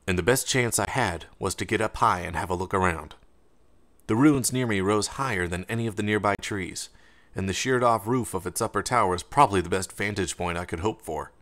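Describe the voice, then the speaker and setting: A man reading first-person fiction as an audiobook narrator, in a measured, dramatic way. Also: fairly low pitch, fairly bright tone.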